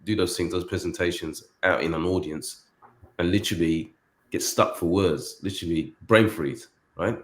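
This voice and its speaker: A man in a casual panel discussion, speaking haltingly and often repeating and rephrasing his words. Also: low-pitched. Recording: echoey room sound.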